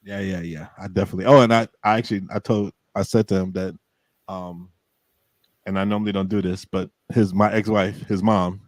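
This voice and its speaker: Deep-voiced man hosting a podcast. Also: brisk, no echo. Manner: relaxed, rambling, with many restarts. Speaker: podcast host. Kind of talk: casual podcast conversation.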